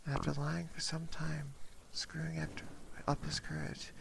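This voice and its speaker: Hushed, very creaky man reading ASMR. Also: on the high side, flat delivery, normal speed. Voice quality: breathy, whispery. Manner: hushed, slips and corrects words while reading. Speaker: ASMR streamer reading a story aloud. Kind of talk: ASMR scripted story reading, soft and partly whispered. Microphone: close binaural ear microphone. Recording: close binaural mic with clear background noise. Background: rain ambience.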